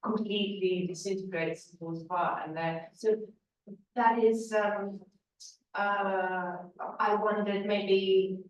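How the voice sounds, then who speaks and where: A woman's voice: medium pitch, steady tone, slow pace. A British woman asking a question from the audience, hesitant and searching for her words. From Britain. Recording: echoey hall, distant voice.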